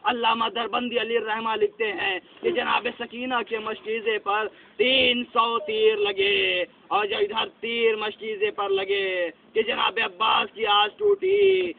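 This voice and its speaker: Man wailing a lament, high gravelly voice. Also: very animated, hardly any pauses. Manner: impassioned, shouting and wailing. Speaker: majlis reciter. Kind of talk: lamenting religious recitation. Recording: tinny phone-like with faint noise.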